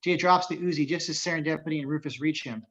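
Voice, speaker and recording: A man's voice: on the high side, quick pace, a bit bright, muffled recording. A man narrating the action of a script as reader of stage directions in an amateur table reading.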